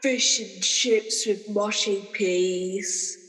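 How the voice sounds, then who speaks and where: A woman's voice: medium pitch, fairly even intonation, drawn-out words, fairly bright tone, long reverb tail, rough recording. A woman acting a blunt, wheedling working-class prison guard in a comic radio play, brash and colloquial. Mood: coaxing.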